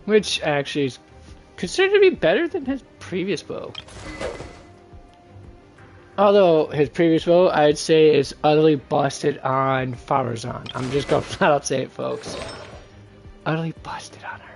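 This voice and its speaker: A man's voice: fairly high pitch, expressive, a little slow, gravelly, pauses often, a little mellow, faint music behind. A man doing casual game livestream commentary, rambling and thinking aloud to his viewers. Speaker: game streamer.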